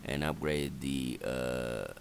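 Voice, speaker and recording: A man narrates a strategy game walkthrough in a very deep, creaky voice. He gives plain, halting instructions at a slow pace over game sounds. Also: slight background noise. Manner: matter-of-fact, instructional, halting. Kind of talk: unscripted strategy game commentary. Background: game ambience and effects.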